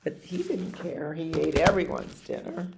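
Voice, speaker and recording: A woman in her sixties reading aloud at storytime in an expressive way, with chatty asides about the pictures and questions for her listeners. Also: low-pitched, normal speed, creaky, background noise. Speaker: library storyteller reading picture books to children. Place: library activity room, indoors.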